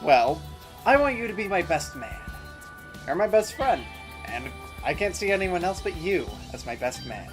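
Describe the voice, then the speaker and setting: A man's voice: high voice, very animated, normal speed, music in the background, rough recording. A man acting an upbeat, easygoing young groom and best buddy in an audio drama, breezy and exuberant. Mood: friendly.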